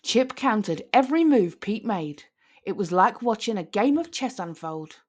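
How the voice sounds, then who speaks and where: A woman reads a picture book aloud for children. Her pitch moves widely and expressively as she narrates. She is theatrical, drawing out important words for dramatic emphasis. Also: animated, unhurried, darkish tone. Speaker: children's storyteller.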